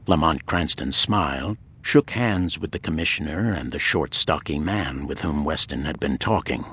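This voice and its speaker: A man with a low-pitched voice narrates a pulp mystery audiobook. His tone has a husky, slightly rough edge, and he delivers the prose as scripted storytelling. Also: normal speed, a bit bright.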